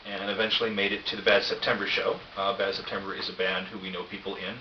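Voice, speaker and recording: Low-voiced man vlogging on a roomy cheap mic. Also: level tone, quick pace. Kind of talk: casual spoken vlog. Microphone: cheap camera microphone. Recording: roomy, distant dull camera mic with hiss.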